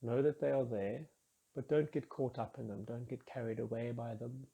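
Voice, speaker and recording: A man leading a guided meditation in a soft, husky voice, calm and soothing, with measured instructions and gently falling phrase endings. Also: medium pitch, poor audio.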